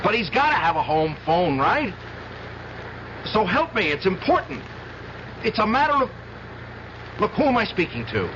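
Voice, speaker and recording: An actor playing an agitated, desperate man, halting and stammering with urgency, in 1970s radio drama style. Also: high-pitched, sing-song. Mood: frantic, pleading. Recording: dull, noisy old radio broadcast.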